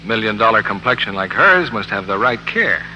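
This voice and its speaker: Male radio announcer, bright punchy voice, animated, emphatic 1940s sales delivery, hall reverb. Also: on the low side, normal speed, slight background noise. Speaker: radio announcer reading soap advertising. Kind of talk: 1940s scripted radio commercial. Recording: reverberant narrow-band old radio broadcast.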